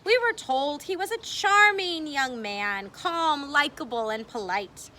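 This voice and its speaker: Bright-voiced woman reading aloud. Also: high voice, animated, unhurried, slight background noise. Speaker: reader. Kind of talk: expressive read-aloud of a memoir.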